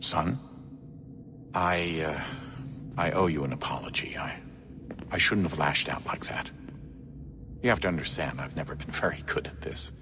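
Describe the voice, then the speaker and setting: An actor playing a stern middle-aged father in a game, speaking in a halting, earnest, remorseful way. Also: very deep voice, background noise. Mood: apologetic. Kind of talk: video game cutscene acting.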